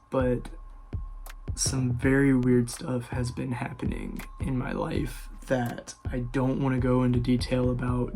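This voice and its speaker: A man's voice: mid-range voice, gravelly, warm tone. A man hosting a scary-story video, narrating informally and speaking directly to his viewers. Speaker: horror story YouTuber, host.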